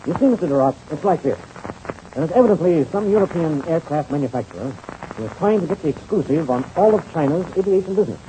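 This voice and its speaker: Guy playing steady american flyer hero, talks fast, mellow tone, old radio adventure. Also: fairly high pitch, lightly acted, low-quality recording. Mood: explanatory. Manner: brisk, decisive, hearty. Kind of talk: 1930s radio serial acting. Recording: faintly hissy old radio recording.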